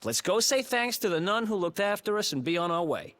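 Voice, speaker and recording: A man's voice: sits high, big pitch swings, brisk, fairly bright tone. An actor playing a young male thief in a video game, breezy and wry in manner, with game music behind him. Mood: laid-back. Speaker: companion character in a video game.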